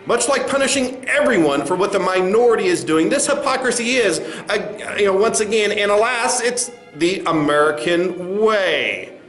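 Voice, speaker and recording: A man's voice: sits high, fairly bright tone. A man playing a smug, dismissive corporate spokesman in a comedy sketch, stammering through condescending doublespeak. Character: smug, dismissive male corporate spokesman facing angry creators. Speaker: spokesman at a press address. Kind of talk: satirical comedy sketch speech. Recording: roomy echo with faint music.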